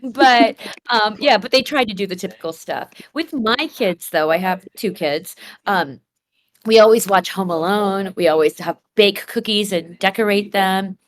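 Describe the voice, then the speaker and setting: A woman's voice: medium pitch, a little slow. A middle-aged woman with an American accent co-hosting a casual chat in an appreciative, informal way. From Los Angeles, USA. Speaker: town hall co-host.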